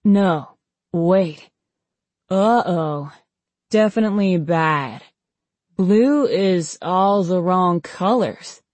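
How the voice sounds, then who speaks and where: A goofy, dazed young male dragon, voiced by a woman narrator for a storybook. The voice is thick and croaky, with slow, drawn-out, halting words, recorded dry and close. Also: lowish voice, animated, a little mellow, no echo, exaggerated voice. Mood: woozy confusion.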